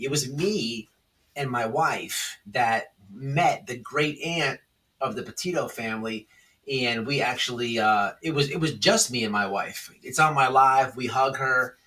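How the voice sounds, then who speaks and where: A man's voice: mid-range voice, sharp tone, far from the mic. A man giving casual, off-the-cuff drama commentary as a host, speaking emphatically, repeating himself and often checking in with the listener. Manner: emphatic, repetitive, checks in with "okay?" and "right?".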